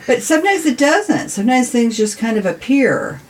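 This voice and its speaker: A woman's voice: fairly high pitch, very animated, normal speed. An American woman talking conversationally as an interview guest, earnest and emphatic, repeating words for stress. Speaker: city council member, podcast guest.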